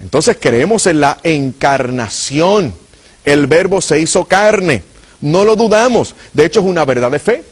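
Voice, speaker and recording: Husky Puerto Rican preacher man. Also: a little high. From Puerto Rico. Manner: earnest, addresses viewers directly. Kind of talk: apologetics teaching.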